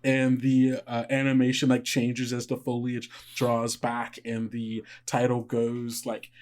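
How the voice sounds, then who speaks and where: A male game master describes a scene in a casual, improvised way. His mid-pitched voice halts and picks up again as he builds each image piece by piece. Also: completely flat delivery, a little mellow, soft background music. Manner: halting, filler-heavy scene description.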